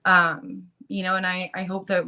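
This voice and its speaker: A woman in her late twenties who just woke up, talking earnestly and haltingly, with many ums and you-knows, partly reading resources aloud. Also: on the low side, flat delivery, sharp tone. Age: late 20s. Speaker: guest speaker on a channel about fighting child protective services.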